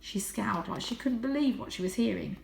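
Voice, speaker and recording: A woman reads a children's story aloud. Her pitch is average and her delivery is expressive and dramatic. The recording is roomy, with a noticeable echo. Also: fairly quick, vocal fry, a little hiss or hum. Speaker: storybook reader, teacher reading to children. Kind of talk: children's audiobook narration. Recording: roomy, echoing indoor sound.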